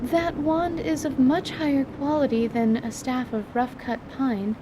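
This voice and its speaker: A woman playing a composed, scholarly magic teacher, patient and reserved, with formal, measured wording in a fantasy audio drama. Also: high voice, fairly even intonation, noisy background. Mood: composed, gentle.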